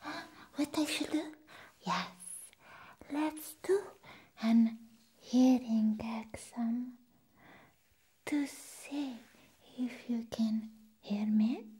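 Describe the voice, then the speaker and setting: Woman playing a slow, whispering, eccentric vampire nurse. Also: fairly high pitch, dark tone, exaggerated voice. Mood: giddy, distracted. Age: young adult. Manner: breathy whispering, halting, giggly. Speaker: ASMR roleplay performer. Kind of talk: whispered ASMR roleplay. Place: small room set as a clinic. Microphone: close microphone.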